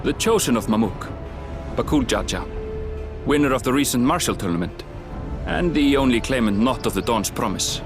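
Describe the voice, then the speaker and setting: An actor playing a composed male guide who explains rivals and history in a measured, slightly dry way in a video game cutscene. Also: medium pitch, lively intonation, close to an everyday voice. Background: game score music.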